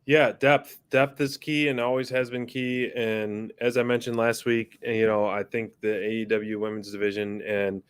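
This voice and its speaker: A man's voice: fairly low pitch, level tone, normal speed, hardly any pauses, clean tone, no room sound. A man co-hosting a casual recap podcast, talking in a laid-back, agreeable way with many fillers. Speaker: podcast co-host.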